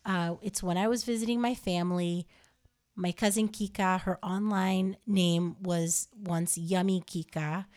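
Lady from jersey rambling through her podcast intro, lowish voice, lots of uhs. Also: fairly even intonation, measured pace, darkish tone. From New Jersey, USA. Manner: chatty, rambling, many ums and uhs. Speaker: podcast host.

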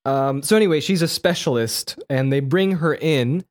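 A man's voice: fairly high pitch, moderate pace, a little mellow. Joking, rambling man reviewing films. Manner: rambling, joking, self-correcting. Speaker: podcast co-host. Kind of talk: casual film review chat.

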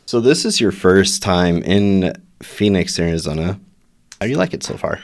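A man's voice: deep voice, very animated, moderate pace. A man hosting an interview, opening the show and asking short questions with brief, upbeat replies. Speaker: host. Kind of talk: interview host.

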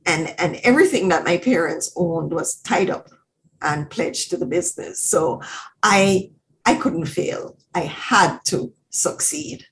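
A middle-aged woman from Jamaica, a guest telling her story in a podcast interview, confident and animated. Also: on the low side, unhurried, slight background noise, low-quality recording.

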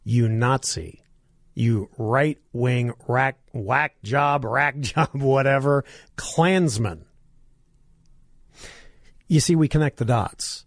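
A hoarse man hosting a talk radio show, delivering a combative, sarcastic monologue and coughing now and then. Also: mid-range voice, slow, pauses often, no room sound, studio quality.

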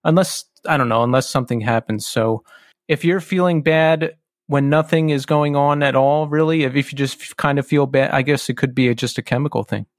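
A man's voice: mid-range voice, steady tone, a little fast, dry recording, studio quality. A man hosting a podcast interview, chatty and self-deprecating, with nervous energy. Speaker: podcast host.

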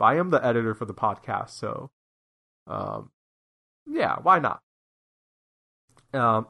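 A man's voice: medium pitch, pushed and bright. A man with a nasal voice hosting a podcast alone, chatting casually with self-mocking humor and many restarts. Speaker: podcast host and editor. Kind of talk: casual solo podcast chat.

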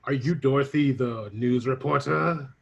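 A man improvising a mysterious, self-serious stranger who talks slowly and portentously in a comedy skit. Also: mid-range voice, creaky, husky, muffled recording. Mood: solemn.

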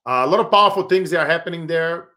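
Brazilian-accented man giving a motivational pitch. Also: fast, sharp tone. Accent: Brazilian Portuguese. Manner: persuasive, preachy, casual with many fillers. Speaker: podcast host. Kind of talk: motivational podcast monologue with sponsor plugs.

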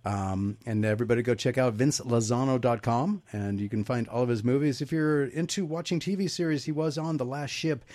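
A man hosting a talk radio show, asking his guest questions in a casual, halting way with lots of fillers. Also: mid-range voice, a little mellow, no room sound.

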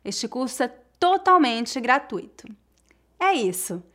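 A woman narrates a language lesson in a high, bright-pitched voice. She takes her time, speaking slowly and deliberately so learners can follow every word. The tone is that of a teacher explaining calmly. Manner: slow, clear, deliberate delivery for learners. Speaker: Portuguese teacher.

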